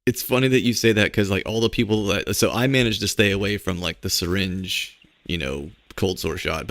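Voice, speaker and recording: Hoarse, gravelly low-voiced man hosting. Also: a bit bright, no room sound. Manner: laid-back, rambling storyteller with filler words. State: hoarse from a cold. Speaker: podcast host. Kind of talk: casual podcast chat.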